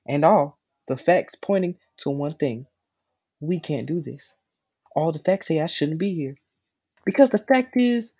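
A man's voice: high-pitched, gravelly, mellow, slightly rough, full character voice. A man performing a dramatic monologue as a conflicted, lovestruck, rational man, pleading intensely and emphatically.

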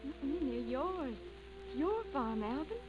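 An actress in an old radio drama playing a headstrong, loving young mountain sweetheart with a folksy rural Tennessee accent. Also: female voice, sits high, sing-song, slow pace, highs cut off, music in the background, full character voice. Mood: proud, tender. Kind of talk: 1940s radio drama acting. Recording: noisy old radio recording.

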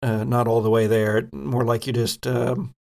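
A man with a mid-pitched, gravelly voice narrates as game master of a tabletop game. He describes scenes in a measured way, pausing as he thinks. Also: little pitch movement, a little mellow.

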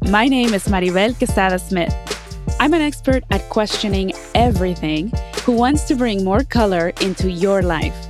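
A woman speaking as a podcast host, upbeat and expressive, addressing people directly in a conversational way. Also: mid-range voice, moderate pace, music in the background. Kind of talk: podcast intro and interview chat.

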